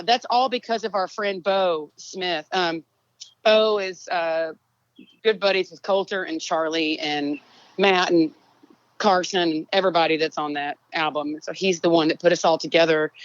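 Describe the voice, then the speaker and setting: Texan woman, low voice, laid-back rambling talker, dry muffled recording. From Texas. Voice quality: low singing voice. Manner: relaxed, rambling with many fillers. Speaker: songwriter guest. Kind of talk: casual interview chat.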